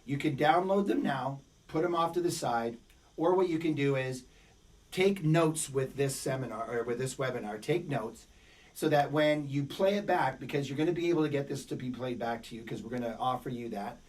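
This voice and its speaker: A man's voice: medium pitch, normal speed, distant mic. Man coaching, voice worn by a cold. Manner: repetitive, emphatic motivational delivery. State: fighting a cold, voice a bit worn. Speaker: business coach. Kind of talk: webinar teaching.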